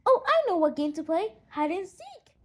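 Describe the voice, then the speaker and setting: A woman's voice: extremely high pitch, very animated, moderate pace, fairly bright tone, voice pushed up, heavily acted. A woman voicing a friendly, eager young girl adventurer in a children's storybook.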